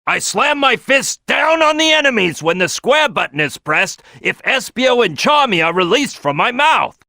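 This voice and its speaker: Brash crocodile team boss for a game: gruff, boisterous, forceful, swooping delivery, very dry recording. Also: male voice, very high-pitched, sing-song, normal speed, no echo, exaggerated voice. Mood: instructing, confident. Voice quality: gruff, gravelly. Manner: loud, boisterous, bossy. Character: big brash male crocodile detective, gruff team leader. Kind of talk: video game character acting.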